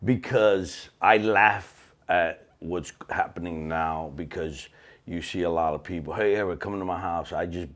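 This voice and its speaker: Guy in his fifties, real low voice, rambles and restarts a lot, chatty interview. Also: measured pace. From Cuba. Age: 50s. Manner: animated, rambling, many restarts. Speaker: cigar company owner. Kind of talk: casual storytelling interview.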